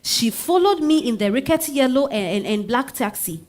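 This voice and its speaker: A woman's voice: sits high, normal speed. A Ghanaian woman playing a spirited married woman telling her love story in a dramatized stage reading, with conversational interjections. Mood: nostalgic, intense. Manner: expressive, conversational interjections like eh and hmm. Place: live literary festival stage reading.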